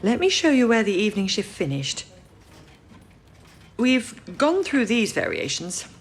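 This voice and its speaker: An actress playing a businesslike, methodical and slightly weary wartime codebreaker in an audio drama. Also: female voice, mid-range voice, big pitch swings, a little slow. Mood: weary, matter-of-fact.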